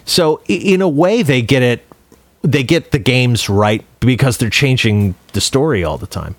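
A man's voice: medium pitch, lively intonation, normal speed, no echo. A man co-hosting a podcast, explaining things informally in a casual chat about movies and games. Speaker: podcast co-host.

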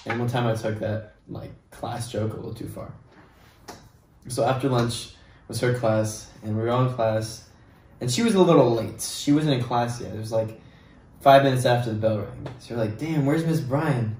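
A man's voice: medium pitch, moderate pace, a little mellow, far from the mic. Echoey, irreverent man joking around. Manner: irreverent, profane, jokey. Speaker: podcast co-host. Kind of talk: casual joking banter. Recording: echoey room, off-mic, faint noise.

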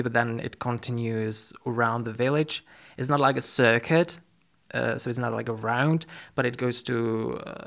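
A male podcast host with a noticeably gravelly, creaky voice at a medium pitch. He speaks conversationally, pausing often as he explains things to a guest. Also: fairly bright tone.

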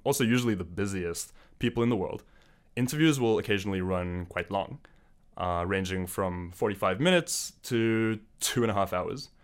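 Young male host from South Africa, low register, earnest scripted delivery with small stumbles. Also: fairly bright tone, smooth. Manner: earnest, with slips and self-corrections. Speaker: podcast host. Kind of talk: scripted podcast intro monologue.

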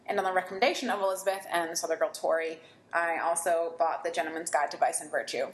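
A woman's voice: lowish voice, steady tone, fast talker, echoey room, low-quality recording. A woman co-hosting a casual book podcast, chatty and rambling, laughing easily as she talks. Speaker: podcast co-host.

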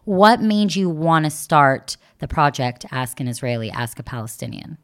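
A woman hosting a podcast, her voice sitting low for a woman. She keeps the talk loose and teasing, tossing in quick jokes between questions. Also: expressive. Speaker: podcast host. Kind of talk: playful interview chat.

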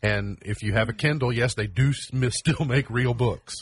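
Male co-host, mid-pitched husky voice, swooping intonation, dry humor, relaxed chat. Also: normal speed, no room sound. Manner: jokey, dry wordplay. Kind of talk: casual banter around reading a gift list.

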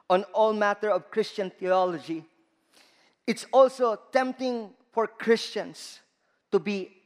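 Filipino-accented male preacher, high voice, deliberate pace, big reverberant church. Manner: slow, emphatic delivery with rising stresses. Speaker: guest preacher. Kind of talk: sermon. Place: large church hall. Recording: long reverb of a church hall.

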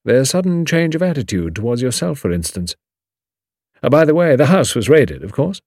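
A man voicing a shrewd, grave older English lawyer in an audiobook, measured, precise and formal. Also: mid-range voice, big pitch swings, darkish tone, no echo, studio quality, pitched above the performer's own voice. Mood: dry, probing. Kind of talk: audiobook character dialogue.

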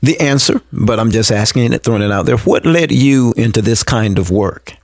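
A man's voice: mid-range voice, normal speed, no echo, clean studio sound. A man hosting a conversational interview, asking questions and affirming his guest. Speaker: interviewer, host.

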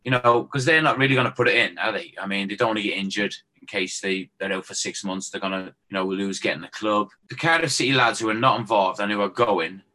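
A man's voice: on the low side, fast talker, hardly any pauses, sharp tone, rough recording. An Irish man, a former footballer, chatting casually and joking as a guest on a phone-in show. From Ireland. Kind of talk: casual chat.

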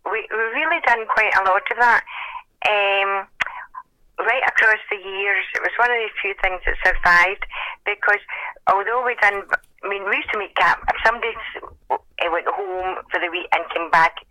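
An older woman from Scotland with a Scottish accent and a hoarse voice, chatting and reminiscing in an interview over a phone call. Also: mid-range voice, normal speed, bright, forceful tone, no echo. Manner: chatty, self-deprecating reminiscing. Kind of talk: oral history interview. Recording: phone call, dry sound.

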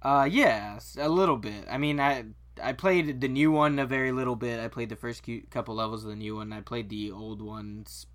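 A man's voice: medium pitch, moderate pace, hardly any pauses, fairly bright tone. A man co-hosting a podcast in casual, slangy chat, freely opinionated and sometimes profane. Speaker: podcast co-host.

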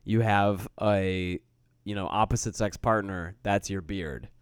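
A man's voice: low-pitched, a little slow, clean tone, no room sound, studio quality. A young man who does comedy, chatting casually and laughing at himself. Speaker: comedian guest. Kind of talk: casual crude banter.

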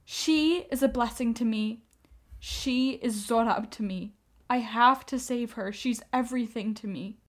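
A woman's voice: on the high side, a little slow, lightly acted. A woman acting in a radio play as an anguished, defiant young Armenian mother, pleading with feeling. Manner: impassioned, pleading.

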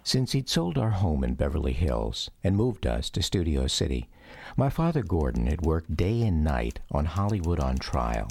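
Middle-aged man, American accent, low register, expressive range, scripted narration, music backing. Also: moderate pace, gravelly, husky, no echo. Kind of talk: scripted documentary drama narration.

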